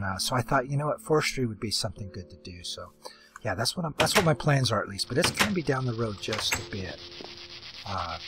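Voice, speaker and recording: Hoarse man rambling over game music. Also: medium pitch, rough recording. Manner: rambling, halting, many fillers and restarts. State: losing his voice. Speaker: let's play host. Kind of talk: casual game commentary.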